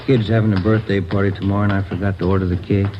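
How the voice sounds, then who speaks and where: An actor playing an easygoing police sergeant who talks in a conversational way in a 1950s radio drama. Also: male voice, deep voice, little pitch movement, racing, close to an everyday voice. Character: easygoing male police partner. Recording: dull old radio broadcast with noise.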